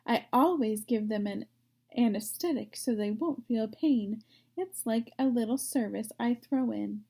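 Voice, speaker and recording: A woman voicing a calm, firm, thoughtful female spider in a storybook. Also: on the high side, unhurried, vocal fry. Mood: matter-of-fact. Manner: composed, firm, matter-of-fact.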